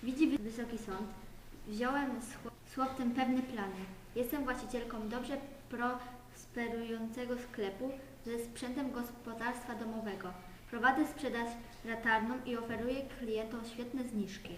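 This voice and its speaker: A woman's voice: a little high, fairly even intonation, measured pace, lightly acted. A female performer playing a kind-hearted shopkeeper aunt, earnest and pleading, in an amateur school radio play. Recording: echoey room with background noise.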